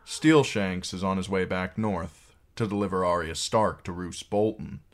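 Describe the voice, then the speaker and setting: A man's voice: sits low, unhurried. A man voicing a bitter, cynical knight commander in an audiobook, speaking in a dry, sardonic, commanding way. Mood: wry, cynical.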